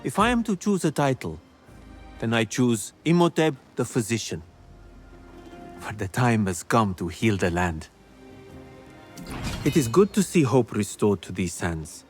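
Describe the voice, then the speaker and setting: A man voicing a wise ancient physician spirit, speaking in a solemn, grave and measured way in game dialogue. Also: mid-range voice, very animated, faint music behind.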